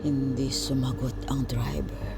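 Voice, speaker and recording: A storyteller narrating a scripted horror tale in a suspenseful manner, with dramatic pauses and hushed moments. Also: mid-range voice, big pitch swings, music in the background.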